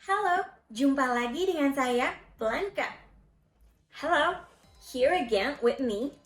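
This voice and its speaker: A woman teaching a language lesson, speaking slowly and carefully and demonstrating phrases. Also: sits high, animated, echoey room, faint music behind. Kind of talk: slow, careful lesson explanation.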